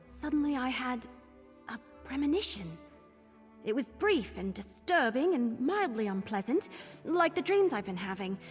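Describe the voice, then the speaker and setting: A woman's voice: high-pitched, big pitch swings, a little slow. A woman playing an earnest, troubled young woman in a fantasy video game, confiding hesitantly. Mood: unsettled. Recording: long hall reverb, game music behind.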